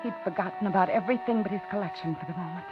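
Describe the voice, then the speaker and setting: A woman's voice: mid-range voice, racing, natural voice. An actress playing a frightened, outwardly polite captive woman who narrates her ordeal breathlessly in a 1950s radio thriller. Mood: tense, hushed dread. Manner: dramatic, breathless first-person suspense narration. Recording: dull 1950s radio drama, loud music.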